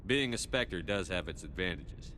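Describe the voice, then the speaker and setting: An actor playing a military starship commander in a game, firm and steady, with clipped authority. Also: male voice, low voice, moderate pace, slight background noise. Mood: measured.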